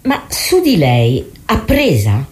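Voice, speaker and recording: An actress playing a composed, probing female therapist who questions in a measured, clinical way in a radio theatre dialogue. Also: low voice, wildly swooping pitch, a little mellow, heavily acted. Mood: calm, probing.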